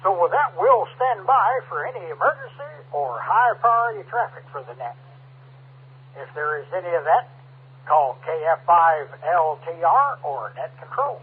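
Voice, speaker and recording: Guy running a ham radio net, kinda high voice, tinny two-way radio sound w/ some hum. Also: very animated, moderate pace. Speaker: amateur radio net controller. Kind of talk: scripted net preamble with ad-libbed asides. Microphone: radio transceiver microphone. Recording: tinny narrowband radio link, hum and static.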